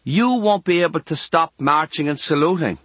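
A man plays a cheeky Irish girl of thirteen from a storybook. The voice is lifted high and calls out in a teasing sing-song. The sound is close and dry. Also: moderate pace, fairly bright tone, no echo. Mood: teasing, chatty. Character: lively, cheeky thirteen-year-old Irish girl in hospital.

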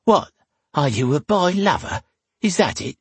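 A man's voice: medium pitch, huge pitch swings, takes it slowly, husky, no echo, voice pushed up, heavily acted. A man voicing a coarse, lecherous middle-aged tavern woman with a suggestive, folksy drawl in a fantasy audiobook. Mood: scornful, angry. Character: lecherous, homely heavy-set tavern woman in her forties, coarse.

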